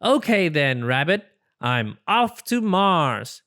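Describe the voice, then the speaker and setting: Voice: mid-range voice, sing-song, slow, fairly bright tone. A storybook reader voicing a cheerful, silly, overconfident male porcupine with a breezy, theatrical manner. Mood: confident, upbeat. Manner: breezy, theatrical, self-assured delivery.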